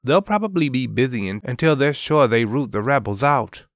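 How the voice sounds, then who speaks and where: A man voicing a blunt young man who speaks quickly and offhandedly. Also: mid-range voice, expressive, no room sound, clean studio sound, lightly acted. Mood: matter-of-fact.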